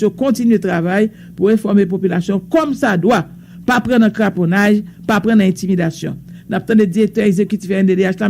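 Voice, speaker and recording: A man's voice: high voice, gravelly, a little mellow, slight background noise. A man with a Haitian accent reading radio news in a loose, hesitant style. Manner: reads bulletins in a loose mix of French and Creole, with hesitations. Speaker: news presenter.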